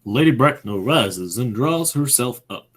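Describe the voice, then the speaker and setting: A narrator reading stage directions for an amateur radio play in a scripted style, with a husky voice. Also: medium pitch, expressive, a little slow.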